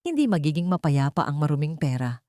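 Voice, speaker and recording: A woman's voice: sits low, big pitch swings, a little fast, warm tone, no room sound, studio quality. A woman voicing a nagging, suspicious elderly grandmother who scolds and complains in an audio story. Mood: scolding, reproachful.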